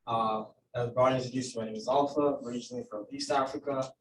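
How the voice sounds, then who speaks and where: A man's voice: mid-range voice, steady tone, fast talker. Male, 20s, from Tanzania, polite and earnest introduction, distant echoey mic, faint hum. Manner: courteous, earnest. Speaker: guest photographer.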